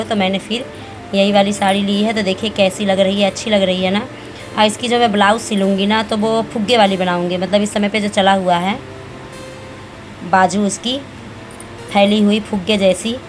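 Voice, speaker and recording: A woman in her thirties, a family vlogger talking casually and homely at home, her voice a little hoarse. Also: medium pitch. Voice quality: chatty, homely, rambling with fillers. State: slightly hoarse. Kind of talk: casual home vlog chat.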